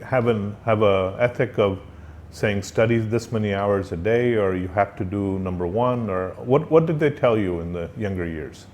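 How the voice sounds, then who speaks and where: Polite low-voiced male interviewer. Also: darkish tone, slight background noise. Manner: polite, deliberate, hesitant phrasing. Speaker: interviewer, professor. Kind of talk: interview questions.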